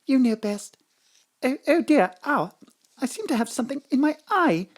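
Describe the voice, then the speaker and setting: A woman's voice: high voice, expressive, normal speed. A woman playing a flustered, melodramatic lover in a parody of old romantic audio drama. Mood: flustered, pained.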